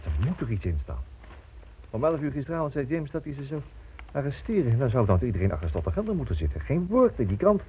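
A man's voice: mid-range voice, big pitch swings, quick pace, lightly acted. An actor in a Dutch radio drama playing an anxious, stubborn, defiant middle-aged father. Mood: uneasy. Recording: muffled old radio recording, faint noise.

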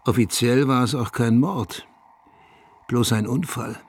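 An actor playing a brooding small-town man who tells his memories in a measured, reflective radio play monologue, with a husky, rough-edged voice. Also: mid-range voice, darkish tone. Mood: brooding, haunted. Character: brooding small-town man recalling local gossip and the dead. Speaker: narrator. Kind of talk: literary radio play monologue, scripted narration.

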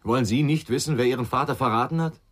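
A man's voice: mid-range voice, fast talker, lightly acted. A man playing a middle-aged ex-policeman in a radio drama, speaking in a terse, grave, brooding way. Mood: grave, insistent. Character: brooding middle-aged male ex-policeman haunted by his father. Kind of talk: naturalistic radio drama acting.

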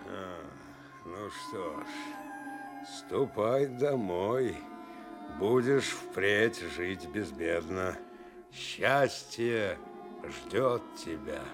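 Very slow, deep wise man. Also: expressive, full character voice. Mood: grave, unhurried. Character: old male sage, unhurried, grave fortune-giver. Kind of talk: audio fairy-tale play acting. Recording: music behind the voice.

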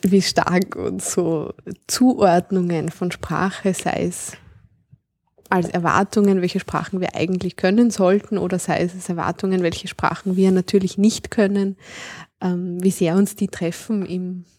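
A middle-aged woman with a gravelly, mellow voice talks through ideas in a measured way and searches for exact words. Her voice sounds dry and close, with no room sound around it. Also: on the low side, flat delivery, dry recording. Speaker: linguist guest. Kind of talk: thoughtful conversational explanation.

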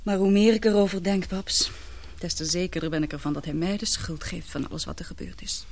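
Anguished, halting young woman. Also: lowish voice, a little mellow. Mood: worried, confiding. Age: young adult. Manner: halting, earnest, emotional. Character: anguished young woman in love, confiding in her father. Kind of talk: radio drama acting.

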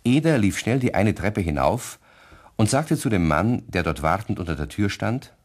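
An actor narrating a children's German radio play as the storyteller, reading scripted prose. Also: male voice, lowish voice, sing-song, moderate pace, darkish tone.

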